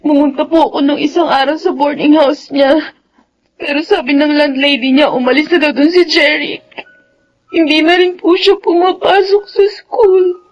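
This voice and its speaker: A woman's voice: high voice, fairly even intonation, normal speed, dull audio, slight background noise, lightly acted. A woman playing a distraught, pleading young pregnant student in an emotional drama, speaking tearfully with a Filipino accent. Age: young adult. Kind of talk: emotional radio-drama acting.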